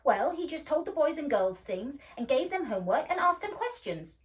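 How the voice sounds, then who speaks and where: A woman's voice: high-pitched, big pitch swings, moderate pace, far from the mic, pitched above the performer's own voice. A woman voicing a superior, scornful young boy in a storybook, with an emphatic, condescending manner. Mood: insistent.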